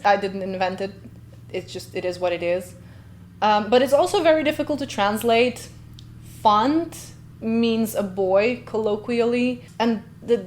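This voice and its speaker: A woman's voice: medium pitch, darkish tone, a little hiss or hum. A woman, a linguist hosting a casual reaction video, chatty and playful with tangents. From Slovenia. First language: Slovenian. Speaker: host, linguist.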